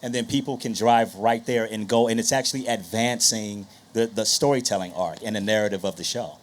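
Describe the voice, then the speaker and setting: A man's voice: lowish voice, fairly quick. A man hosting a live conference panel, speaking in an informal, promotional way. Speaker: panel moderator, podcast host.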